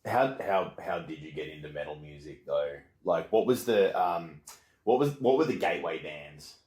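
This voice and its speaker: A man's voice: rumbling low, lively intonation, normal speed, a little mellow, slightly rough, no echo. A man hosting an interview show, moving from scripted narration into playful, wry conversation. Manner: articulate, wry, playful asides. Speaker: podcast host and interviewer. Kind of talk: scripted narration then casual interview chat.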